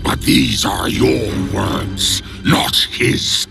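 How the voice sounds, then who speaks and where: An actor playing a fierce, proud monstrous warrior leader in a video game, with a guttural, gravelly voice. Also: male voice, medium pitch, huge pitch swings, very slow, full character voice. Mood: grudging, menacing. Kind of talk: video game cinematic acting. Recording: loud music bed behind dialogue.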